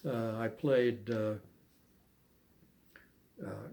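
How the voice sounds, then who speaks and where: An older man chatting casually as an interviewee, recalling things in a halting, hesitant way with long pauses. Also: mid-range voice, fairly even intonation, dark tone, slight background noise. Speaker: amateur harmonica player being interviewed. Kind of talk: casual reminiscing chat.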